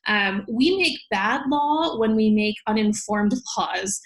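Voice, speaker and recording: A woman's voice: mid-range voice, lively intonation, slow pace, poor audio. An American woman speaking as an expert guest in an interview, giving articulate, organized explanations in plain terms with examples. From United States. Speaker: law professor, podcast guest.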